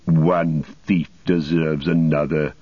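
Guy doing sneering old fallen wizard for an audiobook, cracked deep voice, kinda muffled and echoey. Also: level tone, full character voice. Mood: sneering mockery. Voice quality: cracked, husky. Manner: mocking, drawn-out archaic phrasing.

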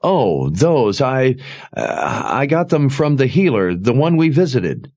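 A man's voice: medium pitch, very animated, slow, darkish tone, voice pushed up, exaggerated voice. A man voicing a devious young male soldier in a fantasy audiobook, soothing and evasive. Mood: defensive.

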